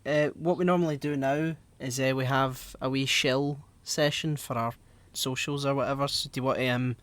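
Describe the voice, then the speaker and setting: A man's voice: mid-range voice, fairly even intonation, normal speed, a bit bright. A young man co-hosting a podcast interview in a jokey, upbeat way. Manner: jokey, upbeat, uses "wee". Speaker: podcast co-host. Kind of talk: casual interview chat.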